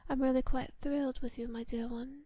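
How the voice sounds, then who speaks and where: Woman playing a soft, high-voiced genteel vampire mistress. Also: flat delivery, no room sound, studio quality. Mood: tender, satisfied. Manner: soft, breathy, often whispered, unhurried endearments; refined, formal diction. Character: kind, genteel vampire mistress, doting and quietly commanding. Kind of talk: intimate ASMR roleplay addressed to the listener. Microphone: close microphone in a dry space.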